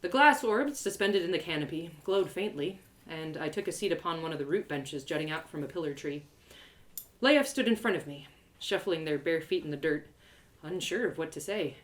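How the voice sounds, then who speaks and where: A woman reading a first-person fantasy story aloud in an expressive, theatrical and lyrical way. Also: deep voice, normal speed.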